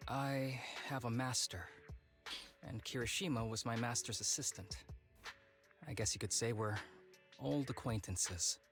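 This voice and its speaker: Breezy, secretive young officer. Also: male voice, medium pitch, measured pace, pauses often, a bit bright, soft background music. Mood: guarded, matter-of-fact. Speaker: video game character voice. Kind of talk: voiced game dialogue.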